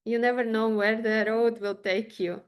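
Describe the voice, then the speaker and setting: High-voiced woman with a Ukrainian accent. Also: level tone, smooth, lo-fi sound. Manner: halting, searching for words, chuckles as she talks. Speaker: interview guest, musician and choir teacher. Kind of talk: casual interview answers.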